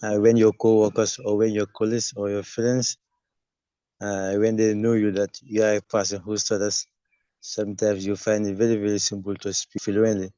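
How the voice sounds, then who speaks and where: A man who stutters, speaking haltingly on a video call during a webinar. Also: lowish voice, little pitch movement, warm tone, smooth, no room sound. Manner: stutters, halting with many pauses. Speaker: webinar participant. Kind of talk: spontaneous comment. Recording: dry muffled video call audio.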